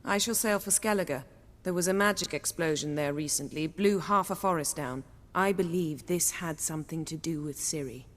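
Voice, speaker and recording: An actress playing a composed, assured sorceress in a video game, speaking with a slightly wry edge. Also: female voice, low-pitched, moderate pace, big-room sound, close to an everyday voice. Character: poised, commanding sorceress, adult woman.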